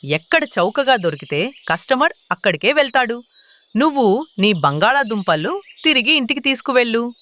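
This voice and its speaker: A woman's voice: fairly high pitch, big pitch swings, moderate pace, a bit bright. A woman voicing a sly, brazen male crow who hawks vegetables in a children's cartoon. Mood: smug. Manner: hawking, brazen. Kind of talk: children's animated story dialogue.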